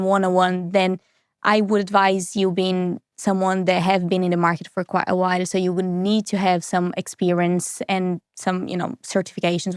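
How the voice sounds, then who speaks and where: Lively woman. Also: mid-range voice, clean tone, no echo, studio quality. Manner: animated, laughs often, tag questions. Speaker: podcast guest, recruiter. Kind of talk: casual interview chat.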